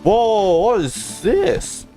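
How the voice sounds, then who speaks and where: A man's voice: high voice, wildly swooping pitch, drawn-out words, warm tone. A man livestreaming a video game, casually muttering commentary to himself as he plays. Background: game music.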